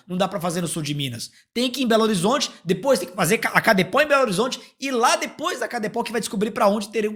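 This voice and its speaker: A man's voice: high voice, fairly bright tone. A man, explaining things informally with examples as a coach being interviewed. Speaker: interview guest, police exam prep coach. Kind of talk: conversational explanatory interview answers.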